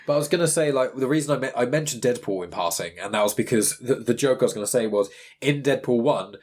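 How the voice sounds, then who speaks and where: A man's voice: medium pitch, fast, a little mellow. A British man hosting a podcast, chatting casually in a rambling, conversational way. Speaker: podcast host.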